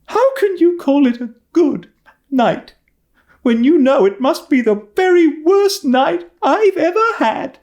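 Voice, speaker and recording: A man's voice: extremely high pitch, big pitch swings, slow pace, a little mellow, voice pushed up, heavily acted. A man voicing a talkative, wistful young orphan girl with an earnest, theatrical manner, in an audiobook story. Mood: reproachful.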